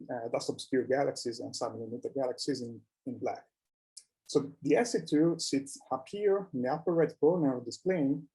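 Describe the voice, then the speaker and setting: Mellow-voiced man giving a scientific talk. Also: mid-range voice, low-quality recording. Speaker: researcher presenting at a conference. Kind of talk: scientific conference presentation.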